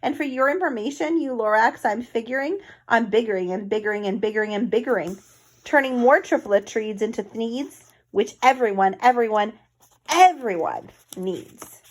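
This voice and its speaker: A woman's voice: fairly high pitch, normal speed, lightly acted, poor audio. A woman voicing a defiant, greedy male industrialist in a storybook, blustering and emphatic as he justifies himself. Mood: angry, defiant.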